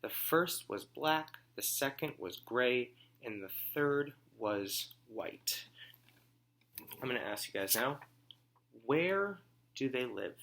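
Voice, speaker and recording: A man reading a story aloud as a teacher, phrasing it deliberately and simply for children and pausing to ask comprehension questions. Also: medium pitch, animated, vocal fry, fairly bright tone.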